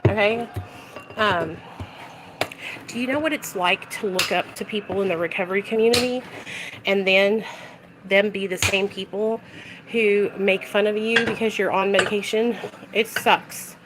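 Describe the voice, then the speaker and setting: A woman's voice: mid-range voice, steady tone, moderate pace, pauses often. A woman vlogging about recovery, talking passionately and bluntly with a strong voice and free swearing. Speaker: vlogger speaking on addiction recovery. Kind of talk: casual direct-to-camera talk. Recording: clear background noise with clicks and kitchen clatter.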